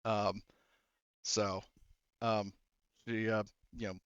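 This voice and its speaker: A man's voice: on the low side, little pitch movement, clean tone, no room sound, muffled recording. Dry-sounding man narrating a tabletop game. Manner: halting, informal, swears freely. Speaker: game master.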